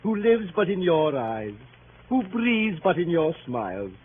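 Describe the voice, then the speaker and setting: An actor playing an amorous gentleman suitor who pleads in florid, theatrical speeches, in 1930s radio style. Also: on the high side, sing-song, a little slow. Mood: adoring. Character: amorous, flowery middle-aged gentleman suitor. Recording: tinny, noisy 1930s radio recording.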